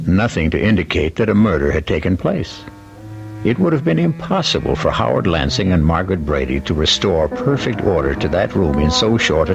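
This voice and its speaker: A deep, gravelly mystery host. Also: male voice, exaggerated voice. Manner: theatrical, rhetorical, deliberate. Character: wry, suspenseful male mystery host. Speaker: host and narrator of mystery radio show. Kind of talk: old-time radio scripted narration. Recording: dull vintage radio recording.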